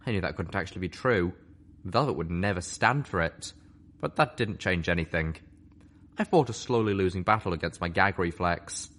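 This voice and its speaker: A man narrating a fan-fiction audiobook with an expressive, animated reading. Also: low voice. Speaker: story reader.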